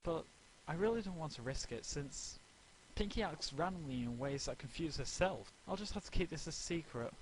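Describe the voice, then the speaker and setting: Man putting on a prissy, high-pitched lady pony. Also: lively intonation, normal speed, a little hiss or hum, low-quality recording. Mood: worried. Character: prissy, flustered female cartoon pony, ladylike and dramatic.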